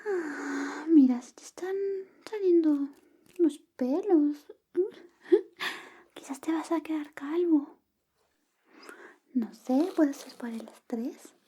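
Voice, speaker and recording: A woman playing an affectionate, teasing young best friend secretly in love, in a soft, breathy, whispered ASMR roleplay. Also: high voice. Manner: slow, coaxing, intimate, playfully teasing. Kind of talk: ASMR personal-attention roleplay.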